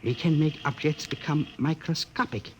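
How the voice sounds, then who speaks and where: An actor playing a gentle, earnest older male physicist with formal, careful phrasing, in a 1950s radio drama. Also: a little high, moderate pace, dull audio, a little hiss or hum, lightly acted.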